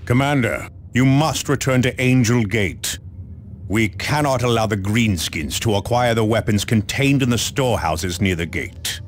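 A man playing an authoritative space marine officer in a video game, giving orders formally and gravely. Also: fairly low pitch, normal speed, slight background noise, close to an everyday voice. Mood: grave, commanding. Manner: formal, commanding.

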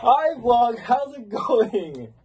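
A man's voice: extremely high pitch, sing-song, very slow. A young man, a friend of the vlogger, joking and laughing in a dorm room. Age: young adult. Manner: playful, joking, laughs a lot. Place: college dorm room, indoors. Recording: distant voice with clear background noise.

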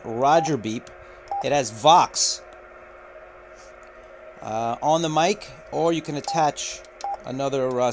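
A man walking through a radio unboxing, talking unhurriedly with frequent filler pauses, recorded indoors at a table on the camera's mic. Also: a little high, background noise. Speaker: radio reviewer. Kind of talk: unboxing walkthrough.

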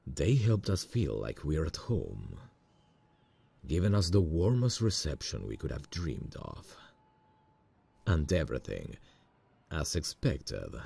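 A man acting a smitten, nervous boss in a romantic ASMR roleplay, speaking intimately and a little hesitantly with a gravelly, husky voice. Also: very deep voice, mellow, heavily acted. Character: smitten, nervous male boss on a work trip.

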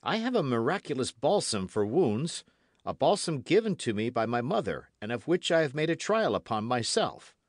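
A man plays a young Gascon swordsman from a storybook, pitched up and very animated. He is earnest and polite, speaking with simple, eager boldness. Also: normal speed, dry recording, clean studio sound. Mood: timid, earnest.

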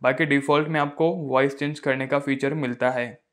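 A man's voice: medium pitch, fairly even intonation, moderate pace, fairly bright tone. A man, narrating a casual phone tutorial as its host.

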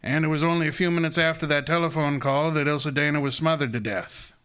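A man acts out a commanding private detective from an old-time radio play. His voice is fairly high and strikingly forceful. He speaks in precise, formal sentences with a pompous, assured air. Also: fairly even intonation, brisk, clean tone, lightly acted. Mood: triumphant. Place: live stage before an audience.

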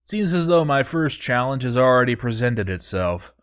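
A man voicing a proud, stern dwarf overseer in formal, measured, scripted storytelling narration. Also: mid-range voice, very animated, clear, resonant tone, pitched above the performer's own voice, close to an everyday voice. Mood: self-assured. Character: proud, stern male dwarf fort overseer, grandly dutiful. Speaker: narrator reading a fort overseer's journal.

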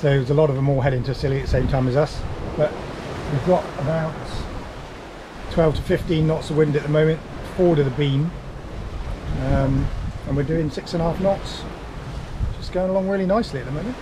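A man's voice: a little high, fairly even intonation, fairly quick, long pauses. A man in his fifties, a sailing vlogger from the UK, talking to a camera held at arm's length in the cockpit of a yacht out at sea, with dry, self-mocking humour. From United Kingdom. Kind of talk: casual vlog narration and to-camera chat. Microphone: action camera at arm's length. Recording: outdoor camera audio with clear wind noise. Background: wind and water noise.

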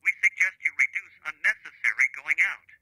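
A man's voice: a little high, animated, a little fast, pushed and bright, slightly rough, no room sound, exaggerated voice. A man playing an urgent newscaster, delivering a formal, declamatory horror-video bulletin. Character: urgent male newscaster warning of supernatural invasion. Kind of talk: news bulletin narration.

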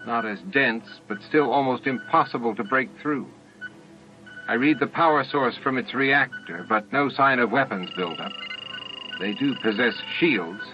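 A man's voice: mid-range voice, unhurried, bright, forceful tone, faint music behind. Man voicing a precise female starship first officer. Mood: thoughtful. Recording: dull lo-fi old audiobook tape.

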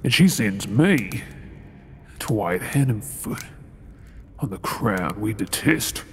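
A man's voice: on the low side, lively intonation, slow pace, creaky, a little hiss or hum, heavily acted. A man with an Australian accent playing a resentful undercover soldier posing as a royal servant in an audio role-play, brooding in asides and formally deferential in address. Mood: bitter, brooding. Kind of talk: scripted ASMR audio role-play acting.

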